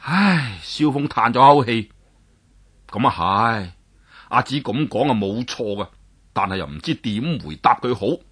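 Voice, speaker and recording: A man telling a traditional story, dramatic and expressive, swinging his pitch up and down. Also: measured pace, slightly rough.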